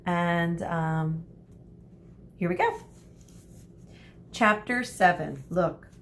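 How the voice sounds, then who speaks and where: A woman with a fairly low voice speaks as a primary school teacher to her class. She is chatty and encouraging, in read-aloud style. Noise is clearly audible behind her. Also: extremely slow. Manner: encouraging, addresses children directly. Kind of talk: chatty address to pupils and storybook read-aloud.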